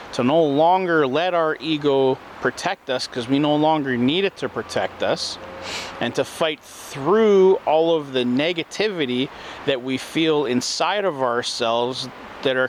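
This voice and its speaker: A man talks earnestly in a slow, repetitive self-help manner, his voice sitting in an ordinary middle pitch. He is recorded outdoors in an urban spot, with faint traffic noise underneath. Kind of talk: spoken self-help talk.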